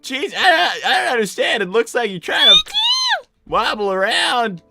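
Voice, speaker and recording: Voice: mid-range voice, big pitch swings, normal speed, vocal fry, loud music behind the voice. A performer voicing a flustered young boy fox cartoon character, stammering and repeating words while hosting a game livestream. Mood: flustered, puzzled. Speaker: streamer hosting a live show.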